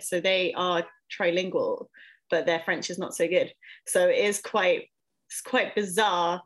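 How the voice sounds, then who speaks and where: A woman's voice: medium pitch, fairly bright tone. A young woman from England, a podcast guest, talking casually with many ums and self-corrections as she thinks aloud. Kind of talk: casual interview conversation.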